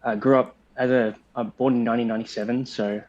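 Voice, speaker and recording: A man in his late twenties with a mid-pitched voice speaks in an easygoing, casual way. His audio comes through dull and lo-fi. The delivery is relaxed and unhurried. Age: late 20s. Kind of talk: casual group video call chat.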